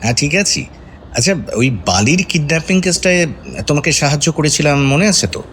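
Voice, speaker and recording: An actor playing a composed senior detective who asks probing questions conversationally in an audio crime drama. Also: male voice, on the high side, lively intonation, brisk, fairly bright tone, a little hiss or hum. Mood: casual, persuasive. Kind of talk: Bengali radio detective drama acting.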